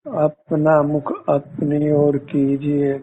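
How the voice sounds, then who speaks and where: A man leading a guided meditation as a teacher, giving measured instructions with frequent pauses. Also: on the high side, completely flat delivery, a little hiss or hum. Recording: muffled, phone-like narrow-band audio.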